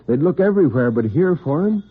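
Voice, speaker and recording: A husky male narrator tells a suspense story in dramatic old-time radio style. His delivery is deliberate and intense, with wide swings in pitch, and the sound is thin and tinny like a vintage broadcast. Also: lively intonation. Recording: tinny, band-limited vintage radio recording.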